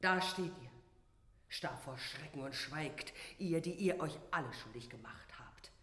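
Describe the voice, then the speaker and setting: A woman's voice: low voice, animated, measured pace, a bit bright, slightly rough, exaggerated voice. A woman playing a commanding, vengeful and grieving warrior wife in a fantasy radio drama, stern and declamatory.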